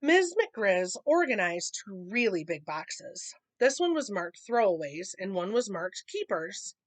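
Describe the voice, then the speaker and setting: A woman reading a children's picture book aloud in an expressive storytelling manner. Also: mid-range voice, measured pace, dry recording. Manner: expressive reading, acting out dialogue.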